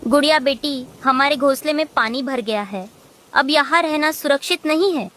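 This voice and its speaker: A woman's voice: high voice, normal speed, fairly bright tone. A woman voicing an earnest, devoted and anxious mother bird in a cartoon. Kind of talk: Hindi cartoon voice acting.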